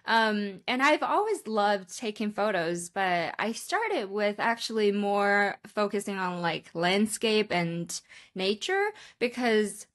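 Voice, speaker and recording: A woman's voice: medium pitch, expressive, takes it slowly, a bit bright. A woman in her thirties from China, chatting candidly and casually as an interview guest on a video call. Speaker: guest, photographer. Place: indoor room, remote video call.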